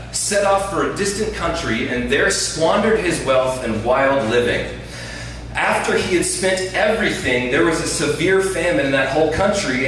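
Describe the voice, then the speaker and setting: A man preaching a sermon to a live audience in a loud, conversational voice, often checking that listeners follow. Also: on the high side, slight background noise. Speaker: guest preacher. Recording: very echoey room, distant mic, faint ambience.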